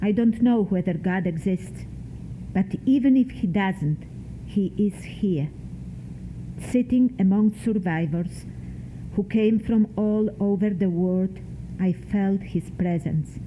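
Older woman reading slowly. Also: mid-range voice, warm tone. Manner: deliberate, lingering on single words. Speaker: memoir author reading her work. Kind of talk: slow, measured literary reading. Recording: tinny phone-like sound, faint hiss.

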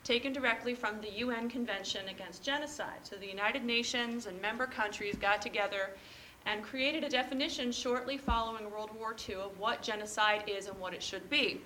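A woman panelist starting her talk in a chatty, loud way with a few fillers, speaking in a lecture room. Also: a little high, brisk, slight background noise, poor audio.

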